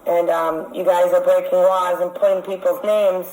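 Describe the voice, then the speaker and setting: A woman's voice: lowish voice, level tone, darkish tone. Insistent woman caller in an echoey recording. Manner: insistent, accusatory, halting. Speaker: caller making a complaint. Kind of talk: phone complaint. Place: phone call. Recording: echoey phone call recording.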